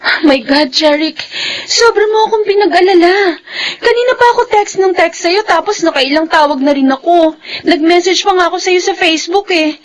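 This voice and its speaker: A woman's voice: very high voice, normal speed. An actress playing a distraught pregnant young student in a radio drama, pleading and fearful, with music behind her. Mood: worried, reproachful. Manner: tearful, pleading.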